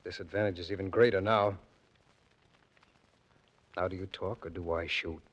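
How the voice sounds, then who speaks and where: An actor playing a menacing, coldly sarcastic armed criminal with clipped, formal threats, in 1940s radio drama style. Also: male voice, deep voice, steady tone, normal speed. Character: menacing, coldly sarcastic gun-toting crime dealer. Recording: muffled vintage radio recording with music.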